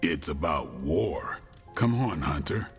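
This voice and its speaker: Guarded warrior, very deep voice, lively swings in pitch, dry understated delivery, video game cutscene. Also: male voice, slow pace, full character voice. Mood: dry, assured. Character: gruff male vampire-hunter warrior, dry-witted, bookish, guarded. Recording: long reverb, music underneath.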